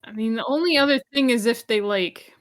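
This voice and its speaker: A woman's voice: a little high, a little fast. A woman chatting casually and asking in-character questions as a player in a tabletop role-playing game.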